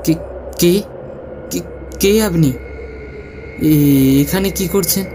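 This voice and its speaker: A man voicing an earnest male reporter from a paranormal TV show in a horror cartoon, speaking in a hushed, measured way. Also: a little high, noisy background. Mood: frightened. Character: earnest male TV ghost-show reporter, sceptical, addressing viewers. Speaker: TV reporter presenting. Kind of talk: piece to camera in an animated horror story. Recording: long hall reverb, music underneath.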